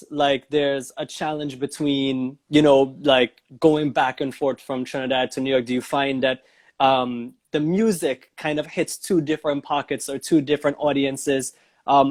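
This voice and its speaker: A male talk show host chats casually with his guest in a medium-pitched voice. He is affirming and encouraging as he puts his questions. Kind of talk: casual interview.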